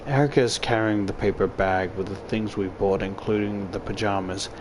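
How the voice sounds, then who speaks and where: A man's voice: fairly low pitch, fairly even intonation, normal speed, noisy background. A man reading first-person visual novel narration aloud as a YouTuber.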